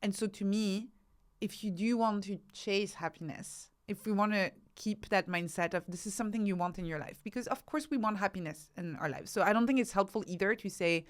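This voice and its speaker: A woman's voice: medium pitch, brisk. A woman speaking as an interview guest, explaining her ideas thoughtfully and emphatically, often building points through lists of examples. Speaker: interview guest, author and researcher.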